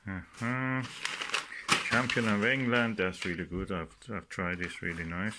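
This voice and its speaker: A man with a fairly low voice talks very slowly and casually, as in a homemade gardening vlog. He pauses between short phrases, unscripted and halting. The recording is clearly noisy, with clicks. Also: fairly even intonation. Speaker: gardening vlogger. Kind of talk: casual unscripted commentary while showing items. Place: indoors at a work table.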